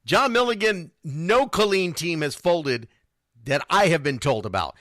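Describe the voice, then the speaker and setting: Animated male radio host, lively pitch. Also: a little slow, no echo, studio quality. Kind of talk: casual live sports radio talk with read sponsor spots.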